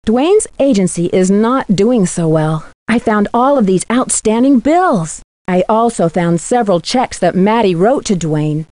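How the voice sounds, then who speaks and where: A woman voicing a bright, inquisitive teenage girl detective in a video game, with an American accent and clear, earnest, conversational delivery. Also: mid-range voice, lively intonation, unhurried, few pauses, dry recording, clean studio sound, lightly acted. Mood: earnest, intrigued. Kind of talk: scripted video game voice acting.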